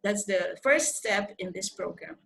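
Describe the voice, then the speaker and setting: An older woman from the Philippines with a Filipino accent, giving an earnest, deliberate motivational talk as a mentor on a video call. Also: medium pitch, expressive, gravelly, a bit bright, slight background noise. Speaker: life coach and programme mentor. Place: indoors on a group video call. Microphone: video call microphone.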